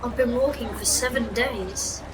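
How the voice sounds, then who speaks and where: A woman's voice: fairly high pitch, a little slow, far from the mic, crowd noise, lightly acted, rough recording. A woman playing an earnest, wounded young orphan boy in an audio drama, plaintive and polite. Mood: timid, polite.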